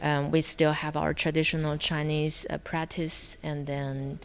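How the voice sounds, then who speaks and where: Low-pitched woman with a Taiwanese accent. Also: level tone, slight background noise. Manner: halting, searching for words. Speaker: interview guest, film director. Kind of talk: conversational interview answers.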